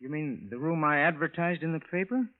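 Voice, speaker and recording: An American actor playing an ordinary, earnest, mildly puzzled husband in a mid-century radio drama, plainspoken and conversational. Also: male voice, a little high, fairly quick, natural voice. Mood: polite, puzzled. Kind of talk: 1950s radio drama acting, first-person narration. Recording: thin, phone-like vintage radio recording.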